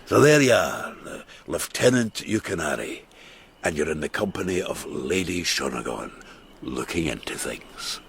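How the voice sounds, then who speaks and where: Radio drama, tipsy teasing farmer: very low, gravelly, halting and slurred, some ambient noise behind. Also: male voice, sing-song, a bit bright, full character voice. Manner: slurred, halting, repeats himself, chuckling. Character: drunken Japanese farmer, boisterous teasing old friend.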